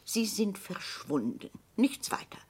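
A woman's voice: mid-range voice, sing-song, slow, a little mellow, husky, whispered, full character voice. An actress playing an imperious, man-hating old consul's widow in a radio play, with a haughty, grandiose delivery. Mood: coldly resolute. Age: older.

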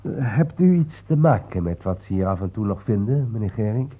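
Low-voiced, thoughtful male traveller. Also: lively intonation, a little fast, narrow, tinny audio. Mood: inquiring. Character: studious, reflective male traveller researching old war. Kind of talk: Dutch radio play acting.

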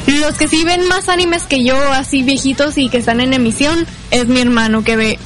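A woman's voice: sits high, moderate pace. A woman with a Mexican accent chatting casually as an interview guest, tentative and a little unsure in her answers. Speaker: interview guest, amateur fandub singer.

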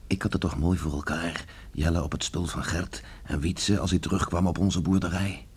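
An actor in a Dutch radio play, playing a stern, stubborn middle-aged farmer father troubled by remorse, brooding and halting. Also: low-pitched, fairly even intonation, breathy edge. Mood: defensive, remorseful. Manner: brooding, halting, muttering to himself. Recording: faint background noise on radio recording.

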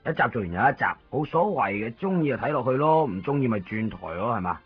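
A man's voice: medium pitch, very animated, fast talker, lightly acted. A man acting a coaxing, worldly caretaker in a radio drama. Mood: resigned, philosophical. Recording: dull 1990s radio recording. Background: faint music.

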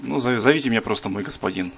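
A man with a gravelly, creaky voice. He is mocking and crude, swears freely, and talks over the person he calls. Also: medium pitch, very fast, a bit bright, soft background music. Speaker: streamer making prank calls. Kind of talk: prank phone call.

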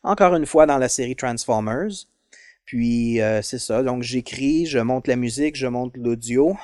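A man's voice: mid-range voice, a little slow, darkish tone, dry recording. Hesitant Québécois man, dry close sound. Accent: Quebec French. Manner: hesitant, many fillers. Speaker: podcast guest. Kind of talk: casual interview chat.

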